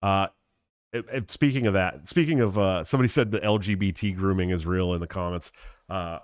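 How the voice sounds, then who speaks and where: A man in his thirties hosting a casual podcast, telling a story with many ums, likes and pauses to get around a mild stutter. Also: low-pitched, dry recording, clean studio sound. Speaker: podcast host.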